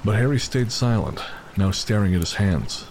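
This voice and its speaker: A man's voice: on the low side, expressive, moderate pace, a little mellow, a little hiss or hum. A man giving scripted, dramatic narration for an audio story. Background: music, rain and storm sound effects.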